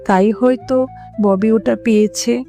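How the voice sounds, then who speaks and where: A woman's voice: medium pitch, steady tone, normal speed, dark tone, close to an everyday voice. A woman voicing a harried, chiding fox mother in a children's cartoon. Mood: defensive. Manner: chiding, explaining herself. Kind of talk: children's animated fable acting. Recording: music under the voice.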